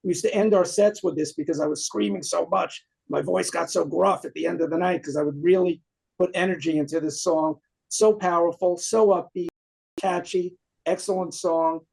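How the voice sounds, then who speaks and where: A man with a New York accent talks up his favorite punk track with eager, detailed enthusiasm. His voice sits fairly high for a man. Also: fairly even intonation, moderate pace, gravelly, darkish tone, breathy edge, rough recording. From Queens, New York. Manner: enthusiastic, detail-heavy storytelling. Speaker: guest, punk singer. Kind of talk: casual music discussion.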